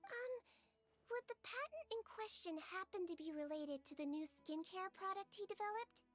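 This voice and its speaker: A woman's voice: very high-pitched, expressive, normal speed, faint music behind. A woman voicing a small, childlike companion creature in a video game, perky, inquisitive and chirpily blunt. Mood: curious. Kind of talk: video game character dialogue.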